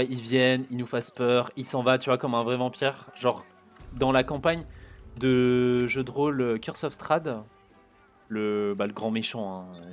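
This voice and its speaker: A man streaming a video game with casual, chatty commentary, muttering and swearing as he plays. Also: medium pitch. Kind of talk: casual let's-play commentary.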